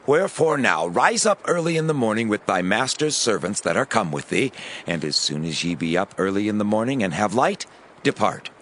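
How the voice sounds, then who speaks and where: A man playing an earnest, conciliatory Philistine king in a dramatized scripture reading, with measured, stately declamation. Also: on the low side, big pitch swings, fairly bright tone, a little hiss or hum. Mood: solemn, regretful.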